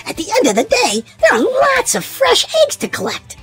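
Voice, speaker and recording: A woman reads a children's storybook aloud in an expressive, sing-song way, leaning on key words for young listeners. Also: very high-pitched, normal speed, faint music behind.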